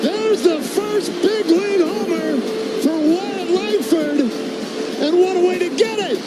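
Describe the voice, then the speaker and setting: A man shouting an excited baseball play-by-play call with drawn-out words. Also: low-quality recording. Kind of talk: excited home run call. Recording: highlight clip under loud music, crowd. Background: loud music and roaring crowd.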